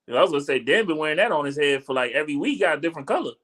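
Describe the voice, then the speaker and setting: A man's voice: on the high side, big pitch swings, very fast, fairly bright tone. A man hosting a gaming podcast, teasing his co-host and joking around in casual chat. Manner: joking, teasing, laughs a lot.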